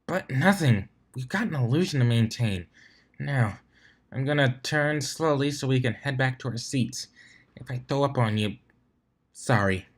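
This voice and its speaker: A man's voice: expressive, a little slow. Guy doing a sick but stubborn lady team captain pony, tense and terse, storybook reading. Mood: strained, firm. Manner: terse, strained, low-volume. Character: queasy, stubborn female team captain pony, holding up appearances.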